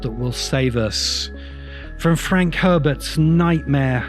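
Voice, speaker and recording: A man delivering a reflective, semi-improvised spoken essay as a host, with deliberate, halting phrasing and emphatic repeats. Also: medium pitch, soft background music. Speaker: host of a science fiction video essay podcast.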